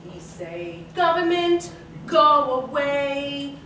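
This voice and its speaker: Slow, high Italian immigrant mamma. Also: female voice, big pitch swings, sharp tone, full character voice. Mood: comic complaining. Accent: Italian, put on. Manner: sing-song, broken English. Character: weary, comic Italian immigrant wife and mother of many. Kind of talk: broad comic stage acting. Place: small theater, live staged reading. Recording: echoey theater, distant mic, faint noise.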